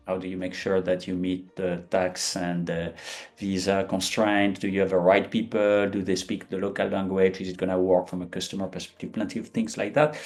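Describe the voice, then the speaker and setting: Guest voice: fifties, French accent, lowish pitch, thoughtful and halting delivery. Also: male voice, creaky, darkish tone. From France. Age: 50s. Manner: hesitant, frequent fillers and restarts. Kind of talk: conversational interview.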